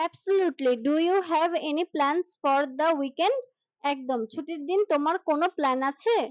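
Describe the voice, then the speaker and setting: A woman reading a scripted language lesson dialogue line by line as a teacher, in a deliberate manner. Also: high-pitched, dry recording, muffled recording. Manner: slow deliberate English, brisk translations. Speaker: English teacher.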